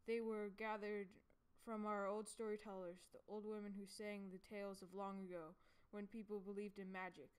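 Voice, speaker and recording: A proud, welcoming monk from a storybook, read by a woman, explains things to his guests. The voice is clear and smooth, at a medium pitch. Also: level tone, moderate pace, natural voice.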